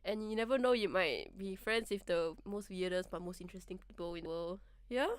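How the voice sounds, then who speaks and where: A woman's voice: on the low side, a little fast, clear, resonant tone, no room sound. Young woman co-hosting student radio, laughing. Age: young adult. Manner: laughs often, steers the talk. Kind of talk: casual radio chat.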